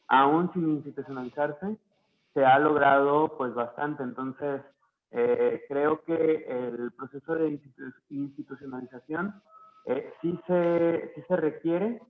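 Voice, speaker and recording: A man speaking as a panelist in an online discussion, halting and full of fillers. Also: on the high side, fairly even intonation, phone-quality sound. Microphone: video call.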